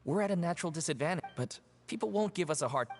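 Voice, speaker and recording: An earnest aid-group recruiter. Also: female voice, fairly low pitch, expressive, fast. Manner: earnest, explanatory. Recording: game audio with faint music.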